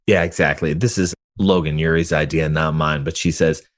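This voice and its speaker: A man speaking as a guest expert in an interview, explaining research in an animated, colloquial way and acting out imagined quotes. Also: rumbling low, moderate pace. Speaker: guest expert, psychology professor.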